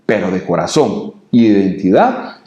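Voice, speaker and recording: A man with a Guatemalan Spanish accent giving a devotional sermon to listeners in a halting way, often repeating his words. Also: low-pitched, very animated, dark tone, lo-fi sound. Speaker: preacher. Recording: roomy, echoing room sound.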